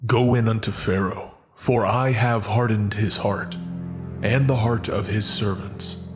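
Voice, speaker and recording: A man playing a commanding, solemn God in a dramatized scripture reading, speaking deliberately. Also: fairly low pitch, fairly even intonation, over music, close to an everyday voice.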